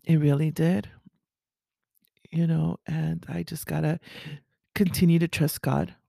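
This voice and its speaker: A woman with a raspy voice speaking haltingly and earnestly in a confessional, faith-based podcast monologue. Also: sits low, little pitch movement, dark tone, no room sound, studio quality. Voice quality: raspy early-morning voice. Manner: halting, earnest, with throat clearing. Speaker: podcast host.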